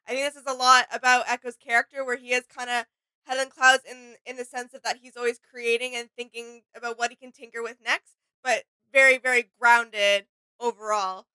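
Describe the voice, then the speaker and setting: A woman's voice: on the high side, little pitch movement, a little fast, bright, forceful tone, clean tone, no room sound, low-quality recording. A woman co-hosting a reaction video, giving casual commentary. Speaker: reaction channel co-host.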